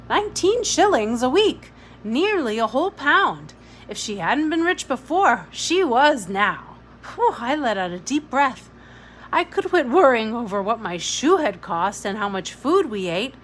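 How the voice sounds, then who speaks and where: A woman narrating a novel aloud in an expressive storybook reading style. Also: high voice, unhurried, slight background noise.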